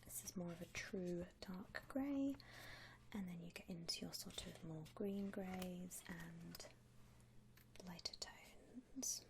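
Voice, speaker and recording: A woman playing a gentle vampire coffin saleswoman in a whispered ASMR roleplay, unhurried, with hesitant pauses and soft hums. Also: lowish voice, noisy background. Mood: soft, attentive. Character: softly whispering female vampire coffin saleswoman, attentive and discreet. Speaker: ASMR performer playing a shop consultant.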